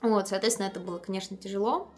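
A woman musician chatting casually as an interview guest, telling lively anecdotes in slangy speech and acting out the dialogue she quotes. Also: medium pitch, very fast, faint music behind.

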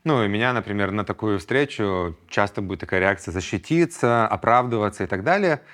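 A man's voice: on the low side, expressive, a little fast, a bit bright. A man in his thirties hosting a solo podcast, talking reflectively and acting out his inner voices in quoted asides. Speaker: podcast host.

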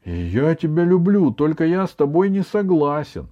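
A man's voice: on the high side, big pitch swings, normal speed, dull audio, voice pushed up. Man playing a stubborn, cheeky young grandson. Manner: defiant, emphatic, argumentative. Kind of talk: children's story reading.

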